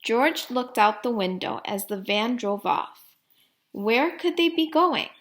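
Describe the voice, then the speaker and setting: A woman reading a children's storybook aloud like a teacher, deliberately, putting questions to young listeners. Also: a little high, animated.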